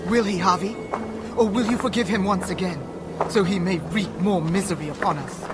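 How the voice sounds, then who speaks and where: A woman's voice: medium pitch, moderate pace, over loud music, lightly acted. An actress playing a fierce, reproachful Norse goddess and protective mother in a video game, with imperious, archaic formal speech. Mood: bitter, reproachful. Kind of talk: dramatic game cutscene acting.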